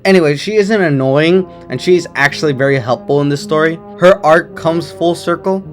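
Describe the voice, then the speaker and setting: A man with a slightly hoarse voice and occasional voice cracks, giving a chatty, rambling movie review at home. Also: a little high, normal speed, faint music behind. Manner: chatty, rambling, enthusiastic opinions. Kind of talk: casual non-spoiler movie review.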